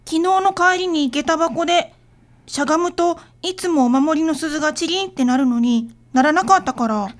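Radio drama child, female actor: timid, sorrowful young girl with a tiny high-pitched voice. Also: moderate pace, close to an everyday voice. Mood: sad, hesitant. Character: sad, shy little primary-school girl.

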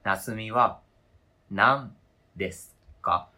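Man playing a sharp, husky, indignant accuser. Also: on the low side, very slow, heavily acted. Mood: stern, interrogating. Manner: pedantic, interrogating, formal argumentative phrasing. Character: wounded, indignant adult, coldly logical and relentlessly accusing. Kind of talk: radio drama script reading.

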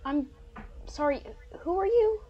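Woman as a high-voiced, bewildered new student. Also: measured pace, muffled recording. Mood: confused, sceptical. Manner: hesitant, deadpan. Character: bewildered, polite, sceptical young new student on tour. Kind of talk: comedic audio drama acting.

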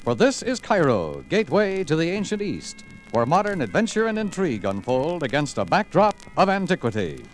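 A man's voice: fairly high pitch, huge pitch swings, moderate pace. A man announcing a drama in scripted, dramatic old-time radio style. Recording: old radio recording, loud music.

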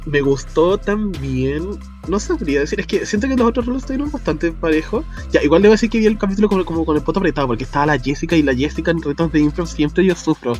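Chilean man, casual podcast co-host, a bit high-pitched, laughs easily, faint music under. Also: animated, fast, few pauses, a little mellow. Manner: chatty, laughs often, uses slang. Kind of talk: casual chat.

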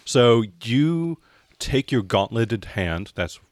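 A man acting as game master, narrating an improvised tabletop role-playing scene in a halting, descriptive way. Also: mid-range voice, sing-song, dry recording.

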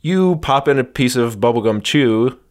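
A man's voice: mid-range voice, lively intonation, normal speed. A man hosting a casual comedy podcast, talking in a dry, mock-earnest way as he plays along with bits. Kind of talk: casual comic banter.

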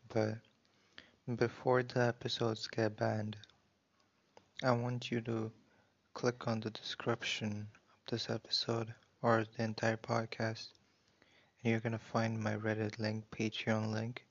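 Dull-sounding, slow, low-voiced man speaking very evenly. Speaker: podcast creator and host. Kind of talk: intimate direct-to-listener announcement. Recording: dull, lo-fi audio.

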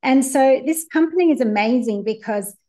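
A woman's voice: high voice, lively intonation, normal speed, warm tone. A woman from New Zealand, a work futurist and innovation coach, answering interview questions in an upbeat, enthusiastic way.

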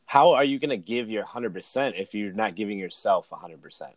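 A man's voice: lowish voice, lively intonation, a little fast, dry recording. A man in his early thirties talking as a podcast guest, casual and slangy, with lots of "like", "man" and "dude". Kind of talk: casual chat, personal storytelling.

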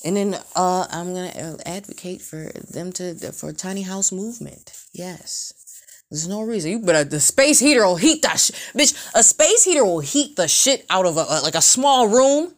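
A woman in her late twenties talking in a casual, rambling monologue, profane and animated, full of fillers. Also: fairly low pitch, normal speed. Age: 20s.

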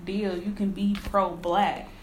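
An animated woman who keeps the conversation moving with questions, speaking at a middle pitch. Her voice rings off the walls of a roomy space, with faint noise behind. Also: takes it slowly. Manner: animated, steers the talk with questions. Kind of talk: casual discussion. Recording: echoey room with faint noise.

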